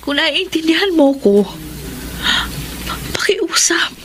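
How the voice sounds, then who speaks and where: An actress playing a pleading, heartbroken young single mother in a Filipino radio melodrama, with a Filipino accent and an emotional, drawn-out delivery. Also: very high-pitched, very animated, noisy background, full character voice. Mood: earnest pleading. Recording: radio drama with music underneath.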